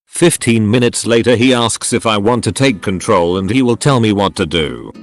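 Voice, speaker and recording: A man's voice: lowish voice, expressive, moderate pace. A man narrating short online stories in a scripted, recited read-aloud style. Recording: music behind the voice. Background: background music.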